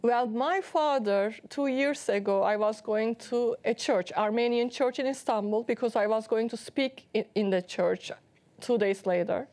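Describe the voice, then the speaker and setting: A woman with a heavy Turkish accent tells her personal story as a talk show guest. Her voice sits fairly high, and her telling is earnest and often broken by laughter. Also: moderate pace, darkish tone.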